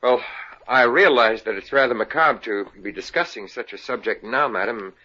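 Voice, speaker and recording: A man's voice: medium pitch, normal speed, bright, forceful tone. An actor playing an eager, bumbling young salesman in a 1950s radio comedy. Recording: dull lo-fi old radio broadcast.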